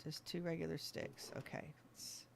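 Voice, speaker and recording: Gaming streamer, medium pitch, casual play commentary, thinking aloud, chatting to in-game animals. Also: flat delivery, normal speed, creaky, a little hiss or hum. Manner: talks to game animals and self.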